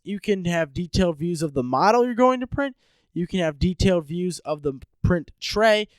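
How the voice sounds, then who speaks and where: A man's voice: sits high, clear, resonant tone, dry recording. A man hosting a solo podcast, stuffed up with a head cold, explaining things in an upbeat, informal way. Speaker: podcast host.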